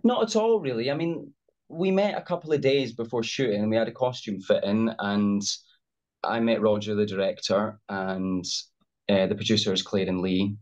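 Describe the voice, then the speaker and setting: A man's voice: on the low side, moderate pace, darkish tone. A young Scottish man, an actor guest on a video call, speaking hesitantly with many fillers and restarts. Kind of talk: casual interview over video call. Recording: dull lo-fi video call audio.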